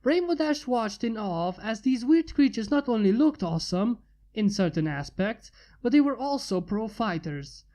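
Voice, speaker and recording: A woman reading fan fiction aloud in a steady narration. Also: a little high, normal speed. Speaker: fanfic reader. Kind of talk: amateur fanfic narration.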